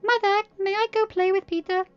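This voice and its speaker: A woman voicing an excitable, mischievous teenage princess, playful and eager, in a story reading. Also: very high voice, a little fast, no room sound, lo-fi sound, faint music behind, voice pushed up. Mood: eager pleading.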